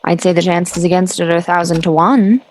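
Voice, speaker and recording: Woman acting as stuffy skeptical professor, lowish voice, formal old radio style. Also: steady tone, a little fast, darkish tone, a little hiss or hum, close to an everyday voice. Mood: assured, dismissive. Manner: formal, dismissive, precise. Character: dignified, skeptical astronomy professor. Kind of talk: 1930s radio drama interview.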